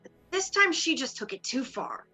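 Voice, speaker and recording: Woman acting bright, high-voiced determined sorority leader. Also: sing-song, normal speed, faint music behind, exaggerated voice. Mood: bitter. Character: proud, wounded young sorority leader, determined and bitter. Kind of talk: dramatic reading of a script.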